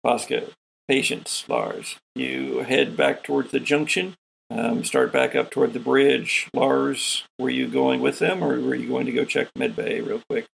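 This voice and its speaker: A man's voice: medium pitch, steady tone, measured pace, gravelly, a little hiss or hum, low-quality recording. A man running a tabletop sci-fi horror game as host, narrating scenes and calling for dice rolls.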